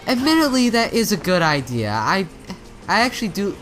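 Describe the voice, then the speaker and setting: A man's voice: high-pitched, very animated, moderate pace, a bit bright, faint music behind. A man giving casual let's play commentary, rambling and often stumbling and rephrasing as he goes. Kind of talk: casual video game commentary.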